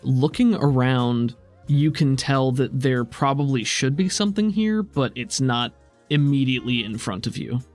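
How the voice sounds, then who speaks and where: Male game master, mid-pitched, descriptive and conversational, faint music underneath. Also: lively intonation, normal speed, darkish tone. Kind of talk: narrating a tabletop role-playing game, conversational.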